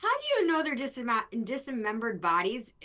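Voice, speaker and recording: Woman, Wisconsin accent, bright tone, lively pitch, blunt and teasing, podcast co-host. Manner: teasing, blunt, quick to interrupt. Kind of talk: casual chat.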